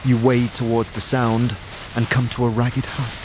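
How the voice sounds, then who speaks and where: A man narrating a scripted second-person adventure story in a measured, dramatic manner. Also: mid-range voice, little pitch movement, background noise. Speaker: narrator, game master. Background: music.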